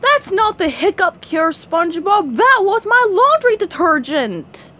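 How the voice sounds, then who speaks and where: A woman's voice: very high-pitched, sing-song, a little slow, bright, forceful tone, lo-fi sound, heavily acted. A woman voicing a folksy, emphatic female squirrel in a hobby cartoon dub. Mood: alarmed.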